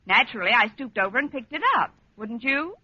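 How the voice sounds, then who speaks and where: A woman playing a wry, quick-witted schoolteacher in a 1940s radio comedy, dry and wisecracking. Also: a little high, lively intonation, sharp tone, no echo, full character voice. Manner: dry, wisecracking, crisply articulated. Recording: dull, noisy 1940s radio recording.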